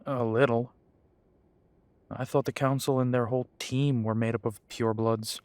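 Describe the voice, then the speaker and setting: A man's voice: medium pitch, fairly even intonation, moderate pace, a little mellow, dry recording, close to an everyday voice. A man playing a sardonic half-breed werewolf with a dry, sarcastic way of talking. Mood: wry.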